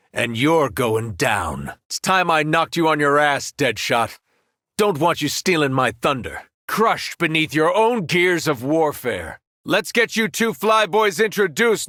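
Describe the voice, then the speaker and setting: A commanding superhero from a video game. His voice sits a little high with a bright, forceful edge. He delivers each phrase earnestly and deliberately, in a very dry recording. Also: no room sound, heavily acted. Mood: taunting, scornful. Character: powerful male superhero, once kindly, now menacing. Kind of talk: acted video game voice lines.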